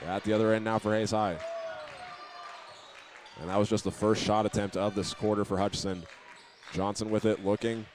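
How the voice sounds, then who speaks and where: A man's voice: on the low side, flat delivery, normal speed, long pauses. A man giving live play-by-play commentary of a basketball game in short, plain descriptive phrases. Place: indoor high school basketball game. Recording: live gym recording with background noise.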